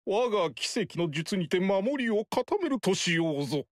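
A fanatical old sorcerer-preacher. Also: male voice, sits high, sing-song, normal speed, no room sound, clean studio sound. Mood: zealous. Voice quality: bellowing, sermon-like proclamations. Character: fanatical older male sorcerer-preacher invoking heaven. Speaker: game character. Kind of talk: game battle voice lines.

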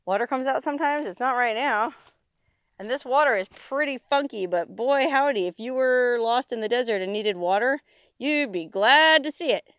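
A woman in her thirties, excitable and easily amazed, narrating as she explores outdoors and inside an old mine, talking into a handheld camera. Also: fairly high pitch, clean tone, dry recording.

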